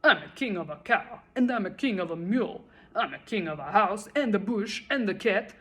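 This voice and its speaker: A woman's voice: mid-range voice, lively intonation, normal speed, a bit bright, slightly rough. A woman voicing a pompous, tyrannical male turtle king in a storybook read-aloud, bellowing and boasting imperiously. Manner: bellowing, imperious, self-admiring.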